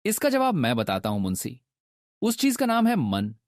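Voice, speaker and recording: A man's voice: medium pitch, wildly swooping pitch, normal speed. A man playing a clever, confident village hero in a children's cartoon, boastful and emphatic. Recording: reverberant echoey voice, faint music.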